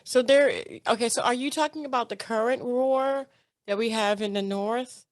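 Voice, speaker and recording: A woman's voice: a little high, moderate pace, no room sound. Lady radio host, super effusive, gushy, dry close mic. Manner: effusive, enthusiastic. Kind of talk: interview hosting.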